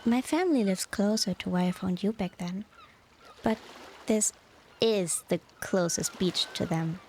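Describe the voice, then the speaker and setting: A woman playing a tender, nostalgic former mermaid and devoted wife in a soft, unhurried, half-whispered ASMR roleplay. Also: mid-range voice, slight background noise, close to an everyday voice. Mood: wistful, homesick. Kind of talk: ASMR romantic roleplay, softly spoken.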